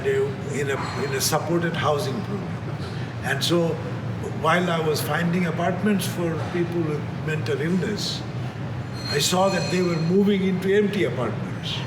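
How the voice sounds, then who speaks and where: A middle-aged man from Bangladesh speaks with earnest passion, restarting his sentences as he goes. His voice sits a little high for a man. He is heard from a distance in a large echoing hall, with steady background noise. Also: measured pace. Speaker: charity executive director. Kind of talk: interview answers. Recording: echoey hall, far from the mic, noisy.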